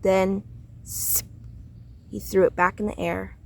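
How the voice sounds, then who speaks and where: A woman reading a children's story aloud in a deliberate, expressive storytelling way. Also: mid-range voice, vocal fry. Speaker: storybook reader.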